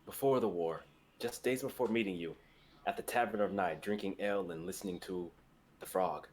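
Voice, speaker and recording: A man's voice: deep voice, normal speed, darkish tone, slight background noise, lightly acted. A man playing an earnest, resolute and tender fantasy hero in a dramatic reading. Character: earnest heroic young man, resolute, tender, self-sacrificing.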